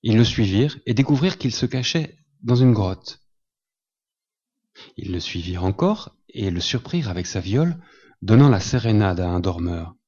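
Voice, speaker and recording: A man reading a literary tale aloud at a tribute event, with a measured storytelling delivery, in a dull lo-fi recording. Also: lowish voice, animated, darkish tone, breathy edge. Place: small cinema salon.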